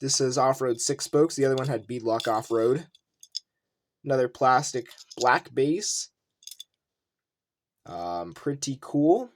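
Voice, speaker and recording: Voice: mid-range voice, lively intonation, takes it slowly, long pauses. A video host giving casual unboxing commentary, rambling and thinking aloud while showing collectibles. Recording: noisy room with clicking handling sounds.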